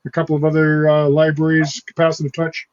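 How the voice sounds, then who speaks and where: A man in his fifties explaining technical points in a relaxed, measured way. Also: fairly high pitch, highs cut off. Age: 50s.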